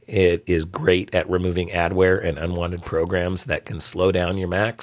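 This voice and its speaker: Hoarse low-voiced podcast host. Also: male voice, no echo. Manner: casual, chatty, with frequent ums. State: hoarse from a cold. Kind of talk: solo tech podcast talk.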